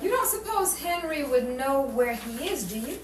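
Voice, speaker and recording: A woman acts a loyal, scoop-hungry friend in a 1940s radio comedy. She speaks in a high, bouncy pitch. The recording is very noisy and echoey, and she is some way from the mic. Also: big pitch swings, moderate pace, far from the mic. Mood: gently questioning. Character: loyal, eager friend chasing a newspaper scoop.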